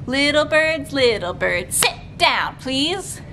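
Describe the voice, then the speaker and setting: A young woman leading a children's storytime, reading aloud with deliberate, clearly articulated phrasing as a librarian would for small kids. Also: high voice, very animated, noisy background. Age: young adult. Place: library room with bookshelves, indoors.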